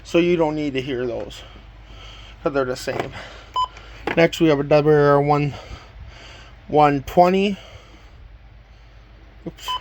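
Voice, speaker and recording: A man's voice: on the high side, slow, pauses often, a little mellow, slight background noise. A man casually presenting and demonstrating items from his collection in a plain, matter-of-fact way. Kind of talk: casual show-and-tell demonstration.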